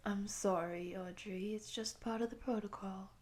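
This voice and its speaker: A woman playing a polite, composed guide in an audio roleplay, speaking softly to the listener. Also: medium pitch, steady tone, measured pace, lightly acted. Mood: apologetic, polite. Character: polite, composed female guide introducing monster girls. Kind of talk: intimate roleplay addressed to the listener.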